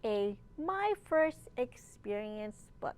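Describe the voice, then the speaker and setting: A woman librarian reading a picture book aloud for young children, with deliberate phrasing and questions put to her listeners. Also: mid-range voice, big pitch swings, darkish tone, clean tone.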